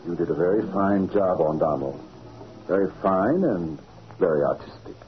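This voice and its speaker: An actor playing a sly, smug crooked contractor with oily courtesy, in old-time radio drama style. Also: male voice, low-pitched, normal speed, lightly acted. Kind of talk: 1940s radio acting. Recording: dull, noisy old radio recording.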